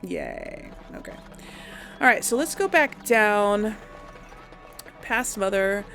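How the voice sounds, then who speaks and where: A woman's voice: medium pitch, wildly swooping pitch, slow pace, fairly bright tone, soft background music. A woman giving casual let's play commentary, hesitantly thinking aloud as she goes. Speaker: gaming video host and player.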